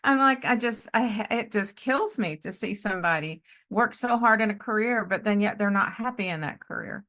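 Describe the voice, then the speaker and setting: A woman's voice: mid-range voice, animated, normal speed, fairly bright tone. Encouraging woman host in her sixties. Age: 60s. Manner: encouraging, responds often with affirmations. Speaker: podcast host, life coach. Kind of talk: casual conversational interview.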